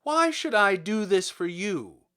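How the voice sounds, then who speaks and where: Storybook wizard, a huge floating head played by a male reader: booming, imperious, raised pitch, slow and weighty delivery. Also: sing-song, fairly bright tone, clear, resonant tone, exaggerated voice. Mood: probing. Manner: imperious, emphatic, deliberate. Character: great disembodied wizard head, commanding, stern, terrible. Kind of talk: dramatic storybook character reading.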